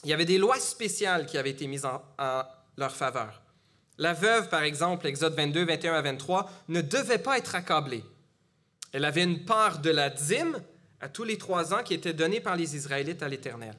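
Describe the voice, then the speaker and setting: A man's voice: fairly high pitch, lively intonation, normal speed, sharp tone. A middle-aged man from Gatineau with a Quebec French accent, preaching a sermon in a conversational way with frequent fillers and restarts. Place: church.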